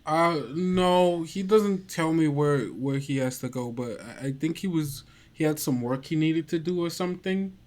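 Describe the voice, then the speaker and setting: A man's voice: on the high side, measured pace, few pauses, a little mellow, voice pushed up, close to an everyday voice. A man voicing a shy, obedient little girl in a tabletop game, speaking hesitantly. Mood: hesitant, uneasy.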